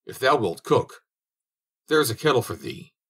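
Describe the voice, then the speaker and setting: A man's voice: medium pitch, animated, moderate pace, a bit bright, husky, pitched above the performer's own voice. A man voicing a stern, saintly old man who speaks in solemn, archaic phrases.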